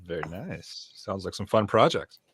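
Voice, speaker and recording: A man's voice: sits low, very animated, moderate pace, breathy edge. A man hosting a live stream, chatting casually and explaining things as he goes. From Canada. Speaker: podcast host.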